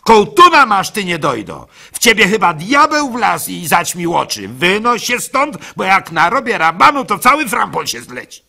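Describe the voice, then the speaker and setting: A man voicing a furious, brazen wife who scolds and curses loudly in a radio play. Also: sits high, very animated, normal speed, creaky, few pauses, voice pushed up, heavily acted. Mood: furious cursing. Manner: loud, cursing, hectoring.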